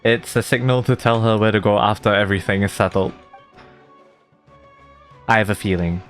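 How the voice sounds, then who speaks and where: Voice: low voice, steady tone, moderate pace. A streamer giving casual, sweary live commentary on a game and reacting as it plays. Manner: casual, easily amused, swearing. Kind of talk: live gameplay commentary with read-aloud game text and reactions. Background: video game music.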